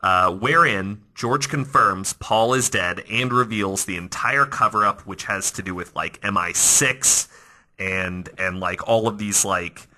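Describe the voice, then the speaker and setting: A man hosting a podcast, telling stories in a casual, animated, conversational way that often restarts mid-thought. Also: fairly low pitch, a little slow. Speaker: podcast host. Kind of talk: casual storytelling podcast talk.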